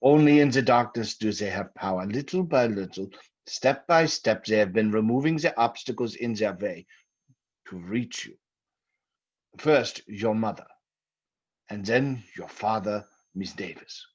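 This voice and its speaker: An actor playing a stern, authoritative doctor of the supernatural, grave and deliberate, in an old-time radio drama. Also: male voice, fairly low pitch, lively intonation, breathy edge. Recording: muffled old radio recording.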